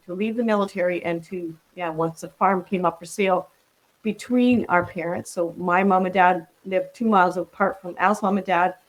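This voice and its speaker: A woman's voice: lowish voice, rough recording. A woman in her sixties from the Netherlands, a podcast guest talking reflectively and casually, with frequent ums and restarts. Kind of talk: casual interview conversation.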